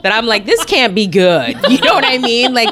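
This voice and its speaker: A woman's voice: a little high, very animated, normal speed, crowd noise. A woman from Alaska co-hosting a podcast, telling stories in a chatty, laughing way. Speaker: podcast co-host. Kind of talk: casual chat.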